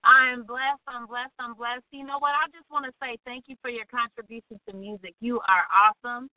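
A woman's voice: on the high side, steady tone, fairly quick, no echo. A woman calling into a radio show as a music manager, effusive and gushing. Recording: tinny phone line.

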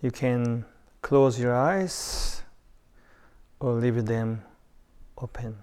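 A man giving unhurried guided meditation instructions with long pauses. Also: mid-range voice, steady tone, a little mellow, clean tone.

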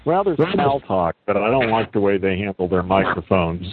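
A man chatting casually, asking eager, rapid questions. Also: lowish voice, big pitch swings. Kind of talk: casual conversation. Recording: phone-like line with faint noise.

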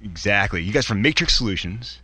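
A man's voice: lowish voice, animated, brisk, pushed and bright, breathy edge, dry recording. A man co-hosting a radio show, chatting casually and effusively and often repeating his phrases. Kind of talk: casual upbeat interview chat.

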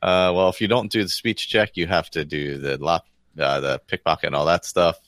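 A man's voice: deep voice, fairly even intonation, brisk, a bit bright, no echo. A man on a podcast panel, chatting casually about a video game.

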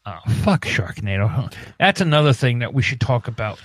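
A man co-hosting a podcast in casual banter, crude, profane and quick to argue. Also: mid-range voice, very animated, no echo. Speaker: podcast co-host and producer. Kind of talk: casual banter about wrestling and movies.

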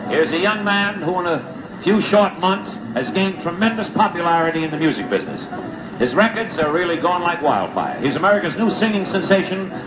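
A noisy, echoing old broadcast carries a man with a high voice. He speaks as a 1950s television host presenting an act to his audience. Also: sing-song, brisk. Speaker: variety show host introducing a performer. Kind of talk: 1950s live television introduction.

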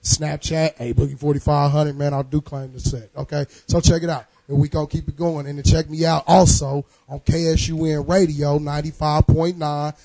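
A hoarse man with a husky voice talks over his friends, plugging his radio show in a loose, profane run. His tone sits mellow and a touch high, and the sound is dry and close. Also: level tone, moderate pace, hardly any pauses, no echo. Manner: boisterous, profane, rhyming bits. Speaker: radio host giving shout-outs. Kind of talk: loose hype shout-out talk.

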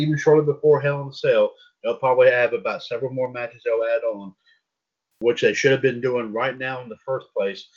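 A man's voice: medium pitch, dull audio. A man hosting a casual wrestling talk show, rambling and repeating words and filler as he talks. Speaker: podcast host.

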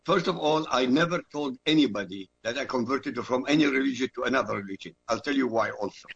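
A man from Iraq whose first language is Arabic, arguing forcefully as a guest and repeating phrases for emphasis. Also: medium pitch, fast, creaky, husky, muffled recording. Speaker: guest commentator. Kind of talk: emphatic talk-radio argument.

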